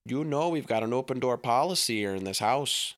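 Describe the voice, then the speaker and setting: A man's voice: medium pitch, lively intonation, brisk, dry recording, clean studio sound, pitched above the performer's own voice, lightly acted. A man voicing a folksy, overly sweet small-framed father who talks in homespun phrases. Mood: cheery folksy.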